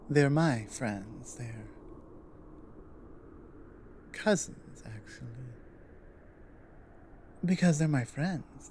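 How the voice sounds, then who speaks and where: A woman playing a guarded but loyal female orc warrior in an ASMR roleplay, speaking in a halting, earnest, plainly worded way. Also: deep voice, mellow, slight background noise, full character voice. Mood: hesitant, vulnerable.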